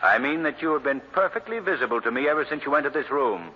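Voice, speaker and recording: An actor playing a composed, self-assured gentleman crime-fighter with formal diction in an old-time radio drama. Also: mid-range voice, lively intonation, quick pace, natural voice. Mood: cool, probing. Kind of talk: 1940s radio drama acting. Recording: muffled, hissy old radio recording.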